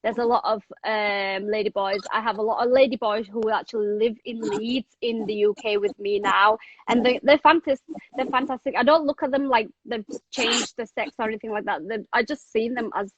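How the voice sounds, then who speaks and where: A woman's voice: on the high side, fairly even intonation, moderate pace, dry recording, dull audio. A woman from Thailand now living in England, chatting casually on a video call with many fillers and the odd swear word. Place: home in England.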